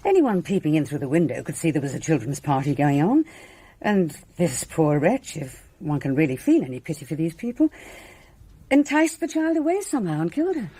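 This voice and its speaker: An actress playing a composed, genteel middle-aged British village hostess in a radio drama, articulate and reserved. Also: female voice, deep voice, big pitch swings, fairly quick, dark tone, husky, full character voice, poor audio. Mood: grimly composed.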